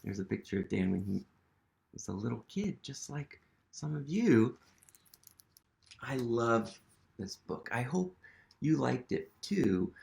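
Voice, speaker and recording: Slow gravelly man reading to kids. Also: mid-range voice, very animated. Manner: gentle, asks children questions, drops to soft whispers. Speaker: museum storytime reader. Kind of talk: children's picture-book read-aloud.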